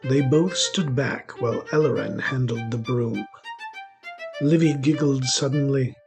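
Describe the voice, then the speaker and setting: A man's voice: medium pitch, measured pace, gravelly. A man narrating a scripted story as a storyteller. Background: music.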